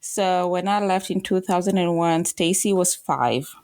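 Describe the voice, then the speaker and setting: A woman in her forties from Kenya talks as a guest, thoughtful and halting, often pausing to find her words. Her voice sits low. The sound is dry and close. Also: darkish tone, no room sound. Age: 40s. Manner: reflective, halting with fillers and restarts. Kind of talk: casual interview talk.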